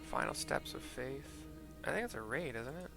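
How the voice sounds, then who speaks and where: A man talks casually through a game he is playing, describing what happens on screen. His mid-range voice has a creaky edge and an offhand, muttering manner. Game music plays clearly behind him. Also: medium pitch, expressive, normal speed. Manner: offhand, muttering asides, mild swearing. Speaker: gaming video narrator. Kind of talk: casual playthrough narration with scene description.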